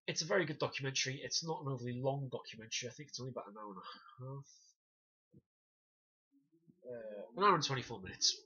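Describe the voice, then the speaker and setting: A man's voice: mid-range voice, quick pace, pauses often, husky. Man, dry wry webcam review. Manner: animated, gestures and demonstrates punches; wry, sarcastic asides. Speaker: self-defence instructor reviewing a documentary. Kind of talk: casual to-camera review. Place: small room indoors, banner behind. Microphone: webcam at desk distance. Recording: dull lo-fi webcam audio.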